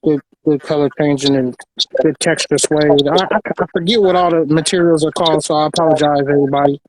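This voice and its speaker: A man's voice: fairly high pitch, steady tone, fast, rough recording. A man from Los Angeles with an American accent, casually showing off his sneaker collection and often repeating his phrases. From Los Angeles, United States. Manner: repeats phrases, informal slang. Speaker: sneaker collector and designer presenting his pairs. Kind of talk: casual show-and-tell chat.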